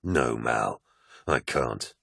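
A man's voice: very deep voice, expressive, fairly quick, a bit bright, slightly rough, no room sound, studio quality, heavily acted. A man voicing a stern, indignant warrior in an audiobook, distrustful and challenging in manner. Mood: sad. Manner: challenging, scornful questioning.

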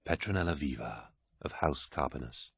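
A man voicing a commanding, brooding, proud warlord in an audiobook, with a grave, imperious, husky delivery. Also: very deep voice, moderate pace, no echo, clean studio sound. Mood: cool, challenging.

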